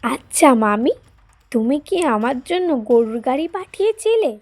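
A woman's voice: high voice, big pitch swings, normal speed, very noisy. A woman voicing a curious, easily startled young woman in a cartoon ghost story, with a questioning manner. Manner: wondering, questioning.

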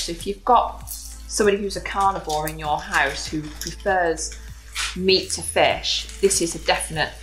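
Low-pitched woman hosting a cooking demo. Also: poor audio. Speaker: home cooking show host. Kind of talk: casual cooking demonstration, instructional. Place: modern home kitchen, indoors. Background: background music, frying sizzle.

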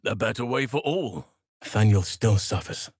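An actor playing a gentle, solemn, burly elf druid in a fantasy video game, speaking in an earnest, measured and formal way. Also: male voice, lowish voice, animated, husky, dry recording, studio quality. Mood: solemn regret.

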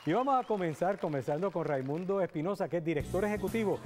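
A middle-aged priest hosting a TV studio interview, asking chatty questions with restarts. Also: male voice, high voice, animated, fast talker, over music, a crowd in the background. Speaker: priest and TV host. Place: TV studio set. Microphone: lapel mic.

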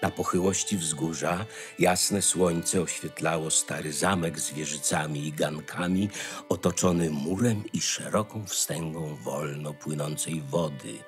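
A low-voiced man narrates a fairy tale over music. He goes slowly and measuredly, pausing for dramatic effect. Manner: measured storytelling, dramatic pauses.

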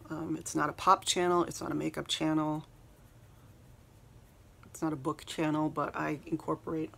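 A soft-spoken woman in her thirties chatting casually while doing her makeup, rambling and saying "um" a lot, recorded on a phone in a small bedroom. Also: low voice, creaky. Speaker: beauty vlogger. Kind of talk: casual get-ready-with-me chat. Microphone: phone camera at arm's length.

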